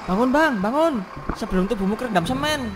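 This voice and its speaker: A man's voice: very high voice, animated, fairly quick. A man voicing the earnest, helpless ghost of a sacrificed labourer in a comic horror cartoon. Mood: urgent.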